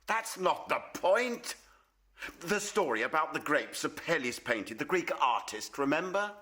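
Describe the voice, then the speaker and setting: Radio play: troubled, emphatic painter father, rough-edged voice, animated intonation. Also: on the high side, unhurried, full character voice. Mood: scornful, lofty. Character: proud, troubled male painter, father of a grown daughter.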